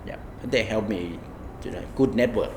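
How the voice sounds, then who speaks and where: A man speaking in halting, simple phrases about a program in an interview. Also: on the low side. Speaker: program graduate. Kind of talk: interview testimonial. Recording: echoey room with faint hiss.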